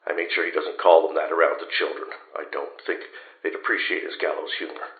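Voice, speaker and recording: An actor playing a sober, caring starship commander in a sci-fi radio play, heard through a radio transmission filter. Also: male voice, rumbling low, little pitch movement, a little fast, vocal fry. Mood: solemn. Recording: echoey, narrow phone-like radio transmission.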